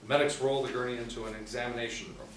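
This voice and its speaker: A man's voice: medium pitch, steady tone, rapid-fire, fairly bright tone. A man in his forties reading screenplay stage directions as scripted narration. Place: small indoor room, seated. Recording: roomy, distant mic, faint hiss.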